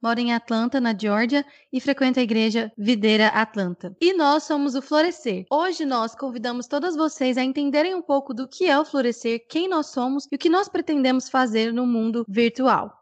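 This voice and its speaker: A woman's voice: sits high, moderate pace, hardly any pauses. A woman in her twenties co-hosting a podcast, introducing herself and opening the conversation in a chatty way. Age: 20s. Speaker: podcast co-host.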